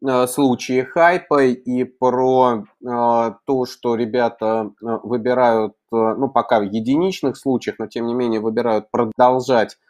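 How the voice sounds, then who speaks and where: A middle-aged man hosting an unscripted online interview, phrasing deliberately with long, drawn-out hesitations. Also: medium pitch, steady tone, a little mellow. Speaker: host. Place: indoor room, on a video call.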